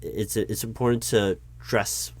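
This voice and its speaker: Male, hesitant corporate interviewer; low, unhurried delivery, polite, full of ums. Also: steady tone. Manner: polite, awkward, stiffly corporate. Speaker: recruiting manager in a roleplay. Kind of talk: one-sided job interview roleplay.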